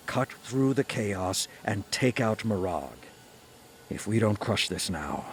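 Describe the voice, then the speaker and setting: A man's voice: lowish voice, slight background noise, natural voice. A man voicing a stern military commander, giving firm, urgent orders in a video game mission briefing. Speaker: game character.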